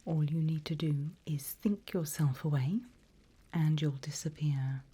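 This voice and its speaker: A woman playing a seasoned demon guide in an ASMR roleplay, teasing, sinister and silkily condescending, in a breathy, drawling whisper. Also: low-pitched, fairly even intonation, warm tone, full character voice. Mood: taunting, menacing. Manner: slow, drawling, teasing, mock-sweet.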